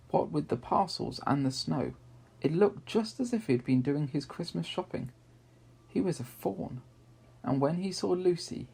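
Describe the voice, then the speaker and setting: A man reads a children's story aloud with measured, expressive phrasing. His voice is soft-edged and mellow, with a gravelly creak and some breathiness. He leaves deliberate pauses as he narrates. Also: mid-range voice.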